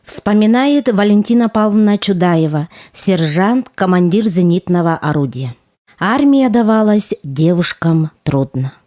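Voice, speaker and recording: A woman's voice: on the low side, lively intonation, measured pace, warm tone. A woman narrating a war audio play in a descriptive storytelling manner from a script. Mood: narrative. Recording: music under the voice.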